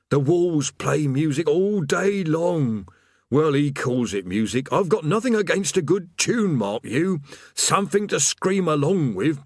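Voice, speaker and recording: A put-upon demon gatekeeper, acted by a man, grumbling and mournful in a raspy rumble. The pitch lifts and swoops widely, and the sound is dry and close, as in an audiobook. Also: no room sound, full character voice. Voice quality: rasping, rumbling. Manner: plodding recited customer-service patter breaking into chatty working-class grumbling.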